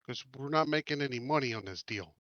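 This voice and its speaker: A man's voice: medium pitch, animated, fast, a bit bright, no echo. Guy playing a tabletop rpg, mid voice, casual, dry slightly muffled mic. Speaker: player in a tabletop role-playing game. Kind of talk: casual game table talk.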